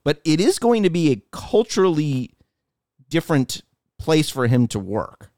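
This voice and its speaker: A man's voice: on the high side, very animated, a little slow, darkish tone, no room sound, studio quality. A middle-aged man co-hosting a podcast, chatting casually and emphatically about sports and culture. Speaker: podcast co-host.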